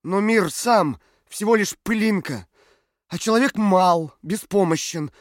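An actor playing a desperate but idealistic male prisoner, earnest and halting, in an audio drama. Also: high voice, no echo, studio quality. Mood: pleading, argumentative. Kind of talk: dramatic audio play dialogue.

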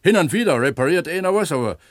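Actor as eager, higher-voiced pit pump man. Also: expressive, quick pace, dry recording, clean studio sound, natural voice. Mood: explanatory. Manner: earnest, explanatory, like a tour guide. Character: robust, eager pump attendant at an opencast mine, amateur novelist. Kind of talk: naturalistic 1970s radio drama acting.

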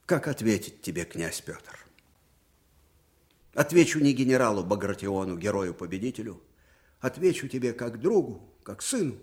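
A man's voice: fairly low pitch, very animated, moderate pace, darkish tone. An older man playing an ageing, fatherly Russian field marshal with old-fashioned folksy speech in a theatrical radio drama. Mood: weary, sorrowful. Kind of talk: Soviet radio drama acting.

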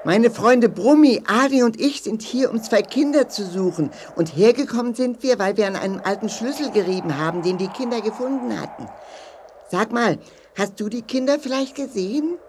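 Woman playing a friendly eager adventure leader, kids audio play, bouncy pitch, slight noise behind. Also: lively intonation, normal speed, natural voice. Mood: polite, eager. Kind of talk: German children's audio play acting.

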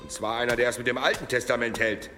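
An actor playing an embittered, angry, vengeful brother in a radio play, scornful and forceful. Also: male voice, mid-range voice, fairly even intonation, fast, soft background music, natural voice. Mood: angry, bitter. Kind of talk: dramatic radio play acting. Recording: long hall reverb.

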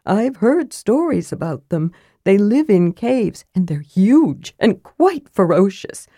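A woman's voice: medium pitch, big pitch swings, slow pace, dark tone, no room sound, clean studio sound, full character voice. A woman voicing a small, curious and chatty male kender adventurer in a fantasy audiobook, with earnest, childlike enthusiasm. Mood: solemn.

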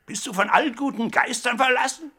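Grumbling old gangster grandpa, very high, gravelly, protesting and fretful, radio comedy style. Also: male voice, a little fast, pushed and bright, exaggerated voice. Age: older. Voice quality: cracked, raspy old voice. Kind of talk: 1960s German radio comedy acting.

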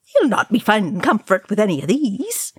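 A woman's voice: mid-range voice, sing-song, moderate pace, breathy edge, no room sound, clean studio sound, pitched above the performer's own voice. A woman voicing an indignant older male dwarf who scolds and mutters retorts in a fantasy story. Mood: indignant, sad.

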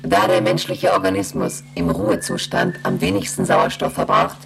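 A woman playing an emotionless moon station computer in a radio play, reciting in a formal monotone. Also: rumbling low, moderate pace, gravelly, faint music behind, full character voice. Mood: impassive. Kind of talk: German radio play acting.

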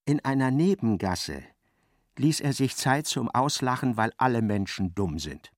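An actor narrating a literary radio play, an expressive and ironic storyteller. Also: male voice, medium pitch, dry recording, studio quality. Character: wry literary narrator of a novel, male.

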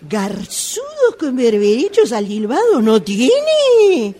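Woman acting a swooping, husky, fussy Argentine lady. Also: high voice, wildly swooping pitch, takes it slowly, warm tone, heavily acted. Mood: fussy, dithering. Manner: theatrical, dithering, pretentious. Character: fussy, affected, indecisive lady restaurant customer. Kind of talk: comic radio theatre acting.

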